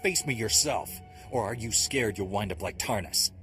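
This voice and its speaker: Steely, very deep-voiced Jedi warrior. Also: male voice, lively intonation, normal speed, a bit bright. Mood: challenging. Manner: firm, defiant. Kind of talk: video game dramatic acting. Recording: music behind the voice.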